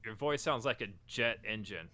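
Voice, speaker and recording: A man's voice: on the low side, normal speed, a crowd in the background. A man co-hosting a podcast, teasing his friends in playful, casual chat. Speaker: podcast co-host.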